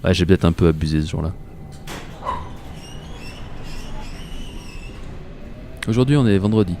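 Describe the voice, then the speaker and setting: A deep-voiced actor as a dreamy commuter tells his own radio story. His mellow voice is wry and confiding, full of colloquial turns. A faint layer of ambient noise sits behind him. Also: animated, very fast. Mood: casual. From Paris, France. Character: dreamy, obsessive commuter, wry and self-mocking. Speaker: narrator and protagonist. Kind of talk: first-person radio fiction monologue.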